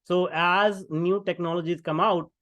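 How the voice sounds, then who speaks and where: A man's voice: sits high, fairly even intonation, measured pace, fairly bright tone, no room sound. A middle-aged man from India with an Indian English accent, a podcast guest speaking conversationally with many fillers and restarts. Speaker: guest, tech founder. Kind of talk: podcast interview.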